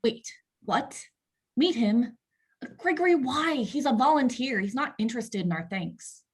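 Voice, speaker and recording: A woman acting a dismissive, snobbish wife. Her voice is high and breathy-rough, and she objects with indignation in a script read-through. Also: expressive, unhurried. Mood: indignant. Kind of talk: table-read acting of a screenplay.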